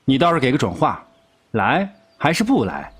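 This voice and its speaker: An actor playing a brash, blunt wolf king in a costume audio drama, gruff and impatient. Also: mid-range voice, huge pitch swings, normal speed, a bit bright, exaggerated voice. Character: brash, blunt wolf king, a grown man at his wedding.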